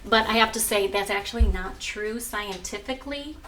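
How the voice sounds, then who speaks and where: A woman's voice: medium pitch, normal speed, fairly bright tone, recorded from a distance, slight background noise. A woman who teaches art and is a mother, presenting a lesson and reading a picture book aloud in an instructive way, often prompting children with questions. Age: adult. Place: at home.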